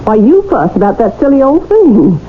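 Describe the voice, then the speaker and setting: A sweet-talking insistent wife in an old radio drama, very animated, muffled hissy sound. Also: female voice, a little high, normal speed. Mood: bossy, coaxing. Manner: affectionate, coaxing, insistent. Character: devoted, coaxing American wife, affectionate and bossy.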